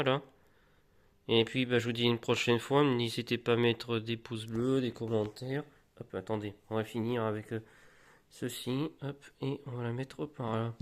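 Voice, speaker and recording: Man with a cold, rambling casually. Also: medium pitch. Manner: rambling, halting, many restarts. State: slightly congested from a cold. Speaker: collector vlogger. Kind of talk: casual show-and-tell.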